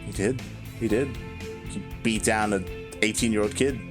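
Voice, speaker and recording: A man doing relaxed, measured play-by-play as co-caster of a live strategy match. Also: fairly low pitch, over music. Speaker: esports co-caster.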